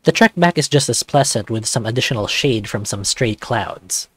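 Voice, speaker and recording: Male narrator, even second-person storytelling, mid pitch, dry close sound. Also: normal speed, dry recording. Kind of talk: scripted visual novel narration.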